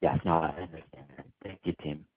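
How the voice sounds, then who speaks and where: A man's voice: a bass voice, brisk, slightly rough, dry recording. A man calling in as a financial analyst, putting polite, informal questions to executives on a conference call. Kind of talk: spoken investor questions. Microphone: conference phone call. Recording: dry, narrow phone line.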